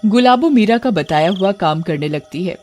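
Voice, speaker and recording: A narrator telling a cartoon folk tale in a steady, matter-of-fact storybook manner. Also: medium pitch, normal speed, soft background music.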